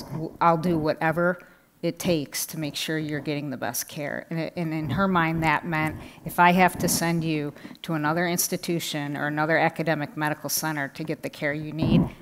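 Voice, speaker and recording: Low-voiced woman patient advocate. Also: noisy background. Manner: personal, searching for words. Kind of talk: panel discussion. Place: indoor conference stage. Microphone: headset mic.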